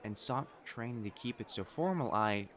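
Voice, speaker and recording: A man's voice: medium pitch, expressive, normal speed, a little hiss or hum, natural voice. A man playing a prim young matchmaker fiancé in a romantic audio roleplay, formal and earnest in speech, easily flustered. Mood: earnest, tender. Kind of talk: intimate scripted romance roleplay acting.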